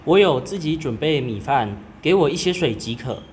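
Teenage boy as a higher-voiced polite traveler, rainy backdrop. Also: lively intonation, normal speed, natural voice. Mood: polite, curious. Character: polite, curious young traveling general seeking shelter. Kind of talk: amateur student radio drama acting. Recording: rain and thunder noise behind. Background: rain, thunderstorm.

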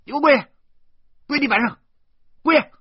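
A man playing a furious, self-righteous bully in an audio story, stammering and shouting emphatically as he scolds. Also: extremely high pitch, measured pace, no room sound, dull audio, pitched above the performer's own voice. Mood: menacing, commanding.

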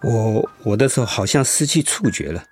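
A man's voice: fairly low pitch, very animated, normal speed, a little mellow, slightly rough, soft background music. A man playing a despairing but stubborn young cancer patient in a radio drama. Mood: pained, weary.

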